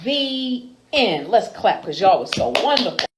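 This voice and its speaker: A woman reading picture books aloud to children in an expressive storytime style, as a host with a deliberate, animated delivery. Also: mid-range voice, background noise.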